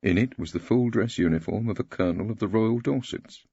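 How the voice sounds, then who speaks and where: A man playing a lugubrious, formal older solicitor who speaks in a measured, eloquent way in an audiobook story. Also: on the low side, warm tone, husky, no room sound, dull audio, pitched above the performer's own voice. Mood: solemn.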